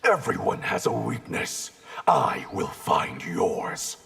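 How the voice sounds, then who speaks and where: A man playing a cold-blooded, grim ice warrior and clan leader in a fighting game, deliberate and threatening. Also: deep voice, huge pitch swings, vocal fry, a bit bright, faint music behind, exaggerated voice. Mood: icy menace. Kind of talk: video game fight intro banter.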